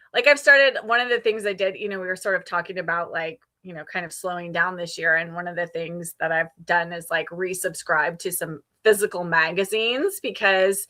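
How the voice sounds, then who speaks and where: A woman's voice: mid-range voice, sharp tone. A woman in her fifties hosting a casual, conversational livestream chat. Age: 50s. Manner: conversational, reads out and responds to viewer comments. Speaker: livestream host.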